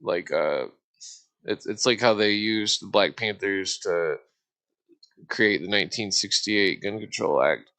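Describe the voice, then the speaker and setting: A man hosting a casual, rambling discussion, speaking haltingly and stammering over his words. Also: lowish voice, a bit bright.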